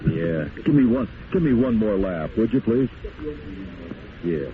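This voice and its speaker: A man's voice: on the low side, big pitch swings, unhurried. A man hosting a radio interview in a friendly, announcer-like way. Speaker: radio host. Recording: tinny, noisy old radio broadcast.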